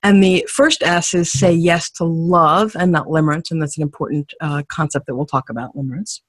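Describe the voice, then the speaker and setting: A co-host on a video call who keeps affirming her partner, then speaks warmly to the class. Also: mid-range voice, brisk, husky, dry recording. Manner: steady backchannel, affirming, gentle laughs. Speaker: co-host and dating coach. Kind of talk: conversational teaching on a video call.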